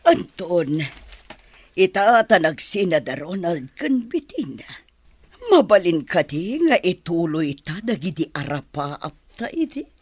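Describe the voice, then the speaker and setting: An actress playing a lovelorn, spurned madam in a radio drama, with melodramatic, emotional, drawn-out delivery. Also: female voice, on the low side, big pitch swings, slightly rough, dry recording, exaggerated voice. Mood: pleading.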